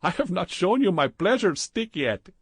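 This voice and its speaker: A man voicing a flirtatious, pompous middle-aged male hotel clerk with a put-on Indian accent, in a high, sing-song, overly formal way. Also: sing-song, moderate pace, breathy edge, no room sound, studio quality, exaggerated voice. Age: 40s.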